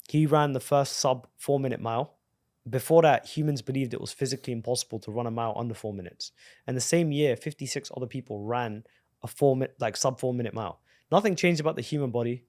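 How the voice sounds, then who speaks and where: Profane, excitable podcast host. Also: male voice, mid-range voice, fast talker, no echo, clean studio sound. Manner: enthusiastic, profane, bro-talk. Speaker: podcast host and business coach. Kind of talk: casual interview conversation.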